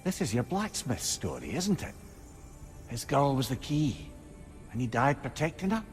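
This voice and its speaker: Male actor as lively, inquisitive wry companion. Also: medium pitch, moderate pace, lightly acted. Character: inquisitive, chatty male companion, quick-witted and frank. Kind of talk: cinematic video game acting. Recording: music behind.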